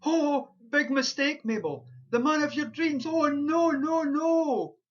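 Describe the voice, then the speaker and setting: A man's voice: very high voice, slow, lo-fi sound. A man playing a wry, exasperated Scottish wish-granter in an amateur radio play. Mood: dismayed.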